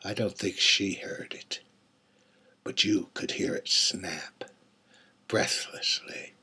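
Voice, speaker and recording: A man performing a dramatic monologue as a menacing, wistful serial killer confessing in an intimate, halting, husky voice. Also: low-pitched, pushed and bright, full character voice. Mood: hushed, chilling. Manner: intimate, halting, dropping to near-whispers. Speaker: writer reading own monologue.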